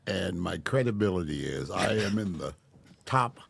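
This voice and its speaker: Soft-spoken gravelly old man. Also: deep voice, expressive, normal speed, a little hiss or hum. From Delray. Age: 80s. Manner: wry, self-mocking storyteller. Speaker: podcast guest. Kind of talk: casual storytelling interview.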